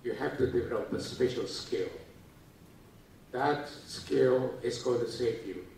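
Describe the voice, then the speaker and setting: An older Taiwanese man gives a talk to students, pausing often on uh and restarting his phrases. His voice is caught from far off and rings through a very echoey lecture hall. A low rumble sits underneath. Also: vocal fry, a little mellow. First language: Japanese. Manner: halting, with many uh fillers and restarts. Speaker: guest speaker.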